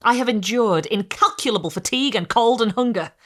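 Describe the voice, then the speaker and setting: A woman voicing a wretched, vengeful male creature who speaks in a low, smothered, threatening way. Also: lively intonation, brisk, a bit bright. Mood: bitter, reproachful.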